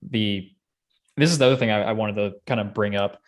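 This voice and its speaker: A man's voice: lowish voice, a little fast. A man co-hosting a podcast, chatting casually about entertainment with joking, sardonic asides. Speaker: podcast co-host. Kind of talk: casual movie discussion.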